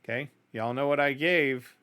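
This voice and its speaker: A middle-aged man hosts a music reaction show in a bright, forceful voice. He talks casually and offers his opinions in a conversational way, often checking in with a quick tag question. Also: mid-range voice, slight background noise. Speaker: host of a music reaction channel.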